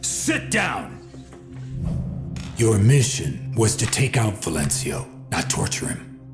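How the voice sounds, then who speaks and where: An actor playing a cold government interrogator, clipped, probing and authoritative, in a video game cutscene. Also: male voice, fairly low pitch, huge pitch swings, takes it slowly, over music, full character voice. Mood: stern. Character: cold, stern male government interrogator, skeptical and controlling. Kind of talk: dramatic game cutscene acting.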